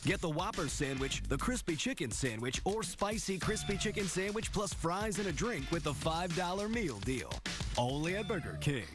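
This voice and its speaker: High upbeat male fast-food announcer. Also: animated, over music. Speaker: commercial announcer.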